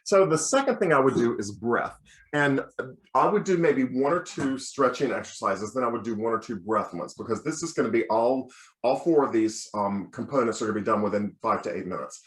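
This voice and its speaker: An American man, a bass, teaching as a choir director in an encouraging, step-by-step instructional style with practical examples. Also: lively intonation, brisk. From United States. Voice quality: bass singing voice. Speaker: children's choir director giving a lecture. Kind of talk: instructional lecture with demonstrations. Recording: roomy, echoing sound.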